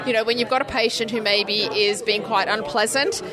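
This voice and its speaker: A woman's voice: a little high, steady tone, normal speed. An Australian woman speaking as a guest in a casual interview, thoughtful and articulate. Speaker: interview guest, clinical trials health professional. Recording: busy crowd chatter behind. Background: crowd chatter.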